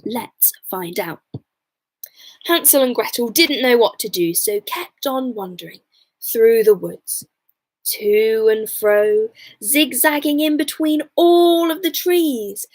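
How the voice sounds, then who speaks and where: Slow, high-voiced woman storyteller. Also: darkish tone, rough recording. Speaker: bedtime storyteller. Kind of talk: expressive children's story narration.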